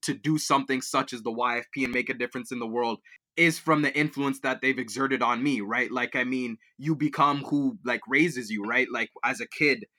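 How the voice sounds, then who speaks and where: A man's voice: medium pitch, moderate pace, pushed and bright. A young man from Liberia, raised in Kenya and Canada, answering interview questions in a rambling way, with many fillers and restarts. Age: young adult. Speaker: student guest.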